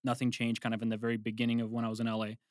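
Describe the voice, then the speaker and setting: Relaxed young man, chatty and rambling. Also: mid-range voice, rapid-fire, no room sound, studio quality. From Brazil. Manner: relaxed, rambling with restarts and asides. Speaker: guest, film composer. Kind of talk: casual interview chat.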